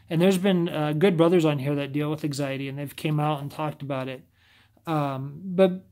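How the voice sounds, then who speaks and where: A man's voice: a little high, darkish tone. Scratchy-voiced man, stumbling over words. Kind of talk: casual talk to camera.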